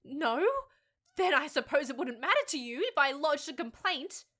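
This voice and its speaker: A woman's voice: high-pitched, normal speed, a bit bright. A woman playing a spirited young half-fox-spirit, half-human job seeker who argues back, in an audio drama. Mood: indignant. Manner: defiant, indignant, argumentative.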